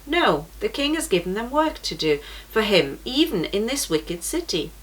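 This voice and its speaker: A woman voicing a steady grown man who guides pilgrims, speaking calmly and reassuringly. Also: medium pitch, moderate pace, slightly rough, poor audio. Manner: calm, reassuring.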